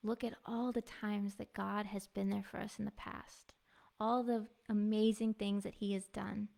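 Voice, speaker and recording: Soft-spoken woman with a gravelly edge. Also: mid-range voice, level tone, unhurried. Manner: earnest, heartfelt, soft-spoken, with small laughs. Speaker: devotional speaker and music minister. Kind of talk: personal devotional testimony. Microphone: clip-on mic.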